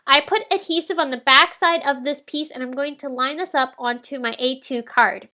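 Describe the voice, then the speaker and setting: A woman with a stuffy head cold, sounding nasal, calmly talking through a card-making tutorial step by step. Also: high-pitched, moderate pace. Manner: calm explanatory walkthrough. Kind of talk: step-by-step crafting voice-over.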